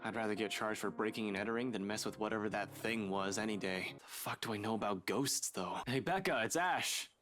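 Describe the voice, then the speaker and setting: A man's voice: lowish voice, brisk, few pauses, lightly acted. An actor playing a hot-tempered, worried young man with a blunt, urgent manner in a video game.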